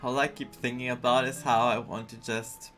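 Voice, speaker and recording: A video game streamer giving casual, personal commentary in a halting, reflective and candid way. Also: mid-range voice, level tone, a bit bright. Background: faint game music.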